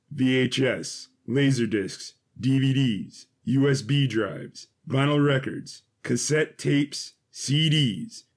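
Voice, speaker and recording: A man's voice: mid-range voice, vocal fry, a little mellow, husky. A man reading scripted fiction as the narrator of a story.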